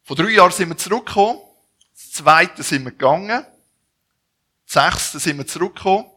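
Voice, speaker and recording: A man in his forties from Zurich with a Swiss German accent, introducing himself as a missionary to a church gathering, speaking haltingly with frequent restarts. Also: high voice, animated, pushed and bright, breathy edge. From Zurich, Switzerland. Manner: halting, with restarts and self-corrections. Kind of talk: personal introduction to a church audience.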